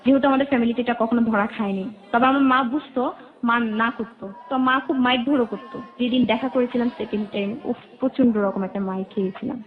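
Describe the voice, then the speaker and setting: A Bangladeshi woman recounts her own life as a guest, in a voice on the high side. She narrates in detail and quotes remembered conversations. The recording is thin and muffled, like a telephone. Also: fairly even intonation, brisk. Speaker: interview guest. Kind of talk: personal life storytelling. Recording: muffled, narrow phone-like band.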